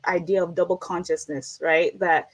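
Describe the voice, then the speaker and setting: A woman's voice: on the low side, fairly even intonation, moderate pace. A woman from the United States, a guest in a casual interview. She talks earnestly and reflectively, and repeats phrases for emphasis.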